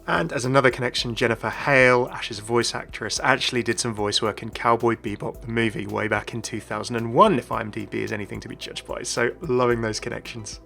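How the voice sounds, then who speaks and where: A man's voice: mid-range voice, steady tone, a little fast, vocal fry, hardly any pauses, bright, forceful tone. A man presenting a chatty, inquisitive explainer as a gaming video host, scripted with casual asides. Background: faint background music.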